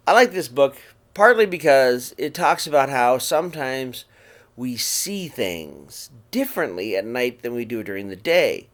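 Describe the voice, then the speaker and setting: A man reading a picture book aloud to young children in an expressive storytelling style. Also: medium pitch, measured pace.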